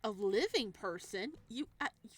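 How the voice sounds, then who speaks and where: A woman's voice: mid-range voice, expressive, normal speed, slightly rough. A woman voicing a powerful deaf and blind male captor in a visual novel, curious, hesitant and eerily polite. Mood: wondering, confused.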